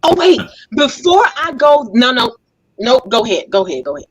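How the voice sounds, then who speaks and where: A woman's voice: fairly high pitch, big pitch swings, brisk, breathy edge. A woman hosting a casual group interview in a playful, teasing, chatty way. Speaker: talk show host.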